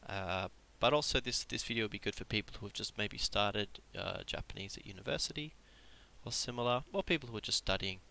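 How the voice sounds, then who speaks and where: A man with a cold runs a live online Japanese lesson in a casual, explanatory way. His voice is low and gravelly with a bright, edgy tone. He fills his explanations with ums and restarts. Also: fairly quick. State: has a cold. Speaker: Japanese tutor. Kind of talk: live-streamed language lesson.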